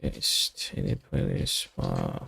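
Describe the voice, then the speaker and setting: A man thinking aloud as he hosts a live coding stream, muttering in halting, half-finished phrases. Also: very deep voice, lively intonation, creaky, sharp tone, breathy edge.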